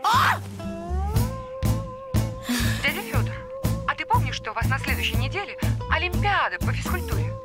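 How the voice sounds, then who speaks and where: An excitable, anxious cartoon female. The voice is extremely high and wildly animated, with loud music behind it. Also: moderate pace, pauses often, exaggerated voice. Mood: excited, alarmed. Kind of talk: animated children's cartoon dialogue.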